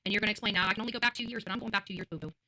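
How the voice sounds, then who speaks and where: Female livestreamer, blunt and combative, sharp forceful voice, racing pace, hammers phrases by repetition. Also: mid-range voice, steady tone, rapid-fire. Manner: combative, confrontational, blunt and profane; emphatic, repeats phrases. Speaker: livestream host. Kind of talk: livestream rant to viewers.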